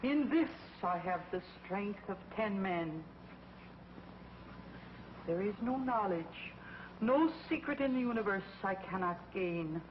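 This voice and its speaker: A woman playing a vengeful, power-hungry witch queen in a 1950s TV drama, slow and imperious. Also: mid-range voice, lively intonation, a little hiss or hum. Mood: gloating. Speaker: villain. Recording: muffled old TV soundtrack with loud music.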